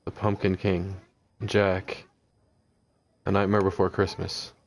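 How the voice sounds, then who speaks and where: A man talking quietly on a live game stream through a headset, musing aloud and reading in-game text, sometimes stumbling over odd names. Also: sits low, completely flat delivery, takes it slowly, no echo. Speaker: livestreamer playing a video game. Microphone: headset with noise gate.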